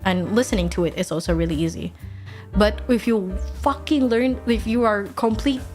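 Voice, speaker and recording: A young woman chats loosely on a home stream, swearing freely and breaking into laughs as she answers her viewers. Her voice sits at an ordinary middle pitch, with soft background music playing faintly under her talk. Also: moderate pace. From Indonesia. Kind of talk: casual chat stream.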